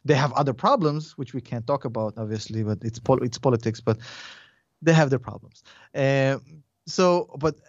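A man in his forties with a thick Russian accent, a podcast guest talking in a rambling, animated way and laughing often. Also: mid-range voice, normal speed, dry recording, dull audio. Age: 40s. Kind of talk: casual interview chat.

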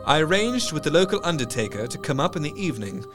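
An actor playing a careworn Victorian doctor, narrating his diary with formal, measured diction in a gothic audio drama. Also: a little high, sing-song. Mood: grave, worried. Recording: faint music behind. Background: music.

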